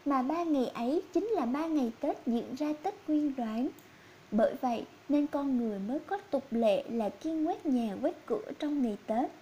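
A woman's voice: high-pitched, mellow, highs cut off, slight background noise. A woman narrating scripted fairy tales for young children as a storyteller.

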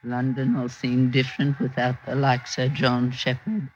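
A man's voice: mid-range voice, little pitch movement, moderate pace, close to an everyday voice. A mournful man of old London, played by an actor, in 1950s radio drama style. The recording is dull and old, with faint noise. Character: mournful 18th-century Londoner missing a beloved rogue.

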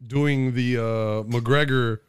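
A man co-hosting a podcast in relaxed, casual banter, swearing often. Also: mid-range voice, takes it slowly, clean tone, dry recording. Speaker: podcast co-host. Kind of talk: casual chat.

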